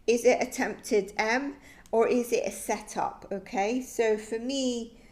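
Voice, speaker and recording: A woman's voice: fairly high pitch, steady tone, takes it slowly, a little mellow. A woman giving a psychic tarot reading, thinking aloud in a tentative, musing way that often trails off.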